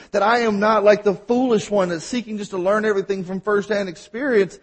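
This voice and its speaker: Man, Mississippi accent, pastor's sermon, husky, fairly high voice. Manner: fast-talking at times, animated storytelling.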